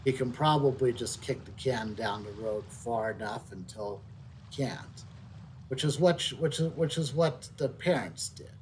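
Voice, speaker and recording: A middle-aged man giving casual, unscripted video game commentary, halting and reflective, repeating words as he thinks. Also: mid-range voice, slight background noise, rough recording. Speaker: game streamer. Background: soft video game music.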